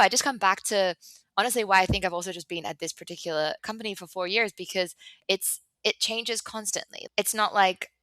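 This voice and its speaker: A woman guest on a business podcast, chatty and animated, laughing often and sprinkling "right" and "like" into her talk. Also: mid-range voice, dry recording. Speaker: business development director, guest. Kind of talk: conversational podcast interview.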